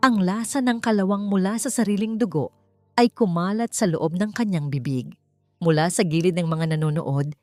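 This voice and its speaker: A woman with a Filipino accent reading a scripted story in a deliberate, emotive, theatrical way. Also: medium pitch, a little mellow. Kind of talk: dramatic scripted story narration. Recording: roomy sound with faint music. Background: faint music.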